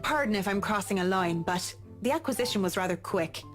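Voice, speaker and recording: A woman voicing a professional young interior designer who speaks in a polite, measured way. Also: mid-range voice, animated, natural voice. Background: game music.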